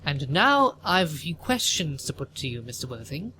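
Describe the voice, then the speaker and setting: A man's voice: a little high, sing-song, moderate pace, pushed and bright, a little hiss or hum, poor audio. A man playing a haughty, pompous older upper-class mother in a theatrical audio drama, questioning and disapproving. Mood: stern, indignant.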